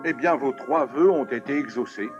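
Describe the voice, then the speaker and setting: A man's voice: medium pitch, moderate pace, music in the background, close to an everyday voice. A man playing a courteous old lamp genie. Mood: fussy. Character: polite ancient male lamp genie. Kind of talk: dubbed cartoon voice acting. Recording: dull lo-fi VHS tape audio.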